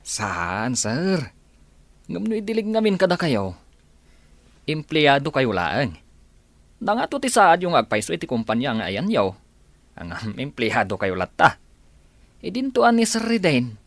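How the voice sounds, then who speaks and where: An actor with an Ilocano accent playing a blundering, cheeky village driver who blurts and exclaims in a comedy radio drama. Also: male voice, fairly high pitch, a little slow, long pauses, no echo, exaggerated voice. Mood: deferential, gossiping. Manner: animated, deferential yet blurting, exclaiming.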